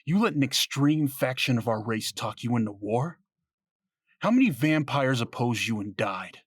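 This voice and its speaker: An actor playing a passionate, accusing vampire councillor in an audio drama, with a husky voice and rhetorical delivery. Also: male voice, mid-range voice, lively intonation, moderate pace, no room sound, clean studio sound. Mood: outraged. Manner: impassioned, rhetorical. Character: passionate, accusing male vampire councillor who argues for peace.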